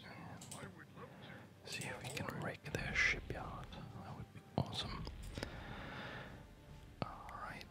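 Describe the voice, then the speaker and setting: A person whispering ASMR commentary while playing a game, breathy and unhurried, murmuring as if to themselves. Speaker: gamer narrating own play.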